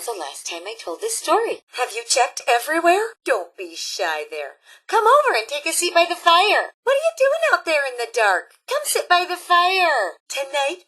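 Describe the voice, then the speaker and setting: A woman voicing a cheery, motherly elderly robot scout leader in a video game, with a folksy American Upper Midwest accent and a husky edge. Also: high voice, sharp tone, heavily acted. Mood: eager, coaxing. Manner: folksy, chirpy, sing-song, fussing over campers. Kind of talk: video game character acting.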